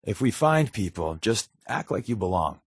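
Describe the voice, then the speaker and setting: An American man playing a wisecracking, sly undercover agent in a video game, talking in casual quips with a deadpan, sardonic manner. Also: fairly low pitch, normal speed, breathy edge, no room sound, clean studio sound, exaggerated voice. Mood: dry, playful. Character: wisecracking, sly adult male undercover agent, habitual fibber. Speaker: companion character in a video game.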